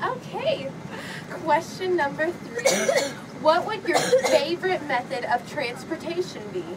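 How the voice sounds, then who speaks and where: Woman playing very high-pitched, sweet fairytale princess. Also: lively intonation, takes it slowly, full character voice. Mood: sweet, prim. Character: sweet young Cinderella as dating-show contestant chooser. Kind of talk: improvised comedy skit in game-show format. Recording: distant mic, very noisy vehicle-like rumble, crowd.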